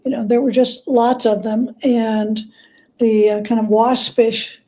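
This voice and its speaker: A woman with a fairly high, very mellow voice explains history as an interview guest. Her delivery is conversational and halting, with pauses as she searches for the right word. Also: little pitch movement. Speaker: guest expert, biographer and scholar.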